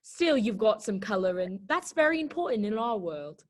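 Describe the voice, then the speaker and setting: A woman's voice: medium pitch, big pitch swings, moderate pace. A woman voicing a snooty, blunt talking garden flower in a children's read-aloud play, condescending and snippy in manner. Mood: prim, condescending.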